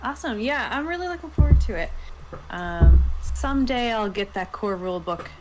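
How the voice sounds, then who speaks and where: A woman's voice: fairly high pitch, lively intonation, moderate pace, noisy background. A woman co-hosting a show in casual chat, with an enthusiastic way of talking. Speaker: show co-host.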